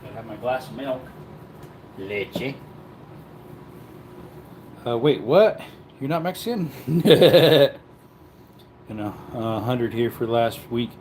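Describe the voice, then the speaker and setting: A man's voice: mid-range voice, expressive, takes it slowly, long pauses, darkish tone, slight background noise. A man casually chatting to viewers while cooking at home, rambling in an easygoing way. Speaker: cooking livestream host. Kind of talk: casual live-stream chat while cooking.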